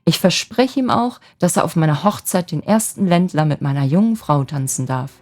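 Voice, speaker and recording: An ardent young man from a storybook romance, acted out by a woman reader. He is cheerful and wheedling, pressing his case eagerly with very lively intonation. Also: low voice, moderate pace, faint music behind. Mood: hopeful, eager.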